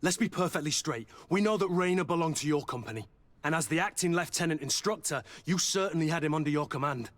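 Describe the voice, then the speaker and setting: Guy doing a no-nonsense army captain in a game, slightly high voice, blunt and bossy. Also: quick pace, fairly bright tone, close to an everyday voice. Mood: stern, impatient. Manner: curt, firm, commanding. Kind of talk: video game character acting.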